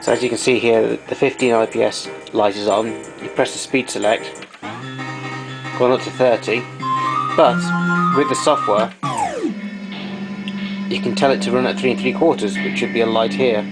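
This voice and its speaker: A man's voice: mid-range voice, fairly even intonation, moderate pace, long pauses, loud music behind the voice. A man giving an informal, hands-on equipment demonstration, explaining technical details as he goes.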